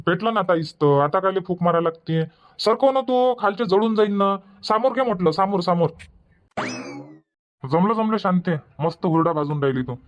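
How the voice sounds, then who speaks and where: A grumbling old village husband, voiced by a man, complains and lectures in a dubbed cartoon comedy. He speaks with a Varhadi Marathi accent in a rather high voice. The recording sounds dull and lo-fi. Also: moderate pace, natural voice. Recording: dull lo-fi audio.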